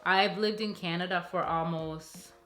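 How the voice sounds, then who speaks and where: A woman's voice: on the low side, fairly even intonation, a little slow, a bit bright. A woman in her thirties with a Jamaican accent, talking casually to camera in a playful, chatty way and laughing often. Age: 30s. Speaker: YouTuber. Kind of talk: casual Q&A vlog. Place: sitting alone in a room at home. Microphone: camera mic, talking straight to camera.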